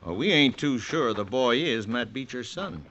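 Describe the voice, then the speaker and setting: An actor playing an easygoing, obedient ranch hand in an old-time radio western, talking in a folksy cowboy manner. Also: male voice, medium pitch, animated, moderate pace, a bit bright, natural voice. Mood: confiding, deferential. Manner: folksy drawling cowboy talk. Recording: muffled, noisy vintage radio recording.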